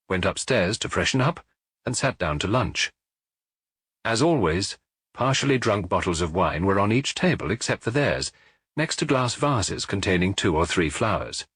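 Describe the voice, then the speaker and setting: Man, audiobook narrator, detective fiction, low pitch, husky, scripted reading, dry sound. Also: a bit bright, no room sound.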